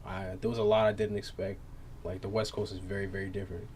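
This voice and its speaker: Laid-back young man, low voice. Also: flat delivery, fast talker, darkish tone, slight background noise. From Massachusetts. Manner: laid-back, lots of filler words. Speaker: guest R&B singer. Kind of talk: casual chat.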